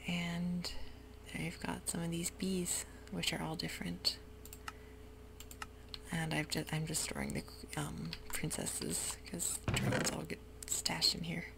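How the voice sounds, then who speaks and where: A woman whispering softly through a relaxed, rambling ASMR game commentary. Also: on the low side, takes it slowly, creaky. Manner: soft whisper, rambling and easygoing. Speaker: YouTuber playing a game. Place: home room with sprinklers outside.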